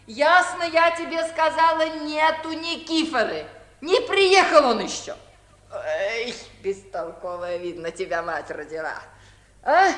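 A woman's voice: high-pitched, a bit bright, slight background noise, full character voice. An actress playing an old village grandmother who scolds, laments and dotes with fussy exclamations, in 1950s radio comedy style. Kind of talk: Soviet 1950s radio musical comedy acting. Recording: 1950s Soviet radio recording.

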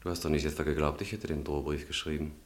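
An actor playing a controlled, secretly calculating young student in a radio drama, speaking in a measured, softly probing way. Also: male voice, rumbling low, fairly even intonation. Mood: smoothly explaining. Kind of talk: German radio drama acting.

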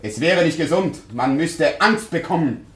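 An actor playing a wry, teasing tavern regular in a radio play, ironic and lightly mocking. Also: male voice, fairly high pitch, animated, measured pace, a bit bright, husky, full character voice. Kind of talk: German radio play acting.

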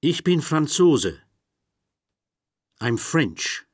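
Slow, husky man reading lesson phrases. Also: on the high side, sing-song, dry recording, studio quality. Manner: slow, deliberate, carefully spoken phrases. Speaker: language course speaker. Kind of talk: scripted language-course reading.

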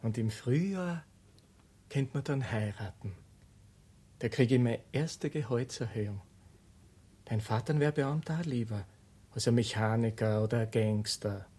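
An actor playing a self-important young Viennese civil servant and jealous suitor in a radio play, earnest and officious. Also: male voice, mid-range voice, a little slow, long pauses, mellow, slightly rough. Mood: earnest, wooing.

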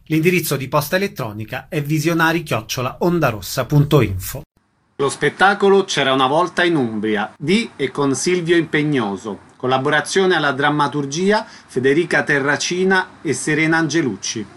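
A man's voice: on the high side, expressive, moderate pace, fairly bright tone. A man reading a scripted radio announcement as an announcer.

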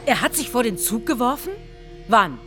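Spoiled, cruel woman, very animated. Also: on the high side, moderate pace, loud music behind the voice. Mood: gleeful cruelty. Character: spoiled, cruel woman who toys with lives. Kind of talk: German radio crime drama acting.

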